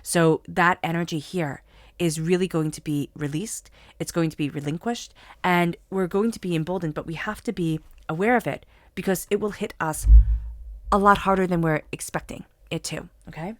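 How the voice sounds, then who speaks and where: Woman tarot reader, low voice, a bit stuffy from a cold, close dry recording. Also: normal speed, dry recording. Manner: soothing guided meditation, then earnest spiritual pep talk. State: has a cold. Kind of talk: solo tarot reading to camera.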